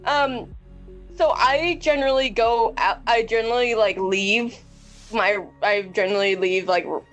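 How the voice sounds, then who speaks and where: A woman's voice: a little high, a little slow, a bit bright, faint music behind. A woman talking as a player in a tabletop roleplaying game, casual and informal, with a teasing way about her. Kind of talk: casual game chat among friends.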